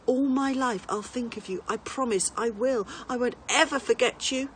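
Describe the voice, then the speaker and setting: Over audible background noise, a high-voiced little boy, acted by a woman reading a storybook, pleads with passion. He sounds distraught and young, his words bursting out emotionally. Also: normal speed, natural voice. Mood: tearful urging.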